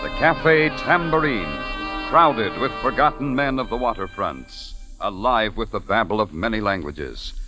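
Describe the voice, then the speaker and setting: A man's voice: on the low side, expressive, a little slow, over music. A man announcing in formal, dramatic 1940s radio style, reading a scripted introduction on an old broadcast recording.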